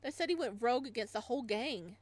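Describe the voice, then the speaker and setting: A woman reading visual novel lines as a slangy, emphatic young male bar host sharing excited street gossip. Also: fairly high pitch, quick pace. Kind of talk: visual novel dialogue read aloud.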